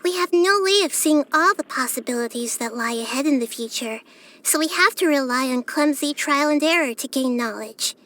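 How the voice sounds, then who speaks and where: A woman voicing a wise, gentle, curious child-like goddess in a video game, with a light youthful voice and earnest, thoughtful phrasing. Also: high-pitched, animated, moderate pace. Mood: wise, reflective. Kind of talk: video game character voice lines.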